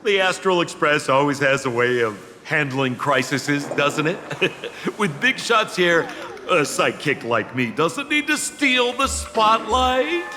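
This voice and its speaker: A man's voice: a little high, very animated, slow, bright, forceful tone, long reverb tail, soft background music, full character voice. A man playing a jovial, sly, self-styled old sidekick in a video game, with a breezy, chuckling, theatrical manner. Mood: breezy, amused.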